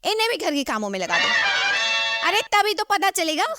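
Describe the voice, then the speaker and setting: A woman voicing a bossy, quick-tempered young daughter-in-law in an animated story, with a dramatic delivery. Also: very high voice, over loud music. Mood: indignant. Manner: indignant, dramatic. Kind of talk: Hindi animated moral story voice acting.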